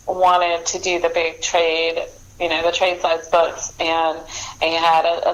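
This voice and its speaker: A middle-aged woman, an author chatting casually as an interview guest, talkative and quick to laugh. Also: lowish voice, low-quality recording.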